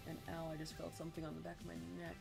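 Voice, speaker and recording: A live streamer chatting casually while playing a building game, sounding congested, hesitant with many ums and restarts, and clearing the throat often. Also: mid-range voice, completely flat delivery, very fast. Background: game music.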